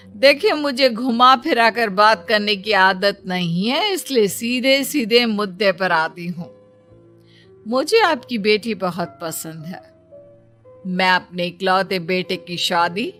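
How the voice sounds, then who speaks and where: Woman as haughty rich mother-in-law, imperious, calculating, measured pace, storybook drama over music. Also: medium pitch, a bit bright. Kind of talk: storybook character acting. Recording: music bed behind the voice.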